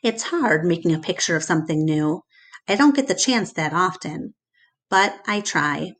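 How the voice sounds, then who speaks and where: A woman with a slightly low voice reads a storybook aloud. She narrates expressively, as a storyteller does for listeners following a book. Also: animated, normal speed, fairly bright tone.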